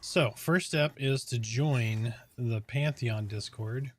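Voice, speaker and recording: A man with a calm, soothing voice chatting casually on a game stream, explaining things step by step in a relaxed, rambling way. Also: medium pitch, slow. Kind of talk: casual live-stream chat.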